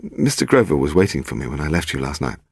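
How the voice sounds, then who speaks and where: A man voicing a nervous, hesitant gentleman publisher who blusters, in a crime story. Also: rumbling low, big pitch swings, quick pace, creaky, slightly rough, no room sound, clean studio sound, heavily acted. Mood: nervous, apologetic.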